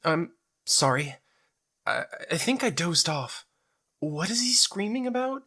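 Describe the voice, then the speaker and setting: A man's voice: a little high, big pitch swings, measured pace, voice pushed up, exaggerated voice. A man voicing a defiant, hot-tempered young woman in an audio drama, with a sarcastic manner. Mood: dazed apology. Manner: sharp, sarcastic.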